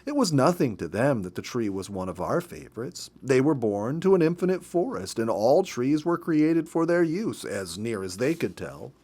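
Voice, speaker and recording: A man reads a story aloud in an expressive, measured, emphatic way. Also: mid-range voice, slightly rough. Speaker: storybook reader. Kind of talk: read-aloud storytelling from a nature memoir.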